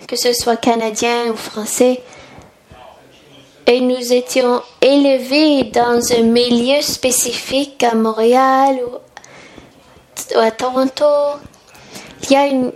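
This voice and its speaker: Slow, high-voiced woman delivering a sermon. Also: level tone, a little mellow.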